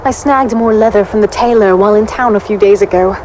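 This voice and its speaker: A woman playing a sharp-tongued, playful warrior in a fantasy audio drama, with sarcastic, teasing delivery. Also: mid-range voice, fast, a little hiss or hum, natural voice, rough recording.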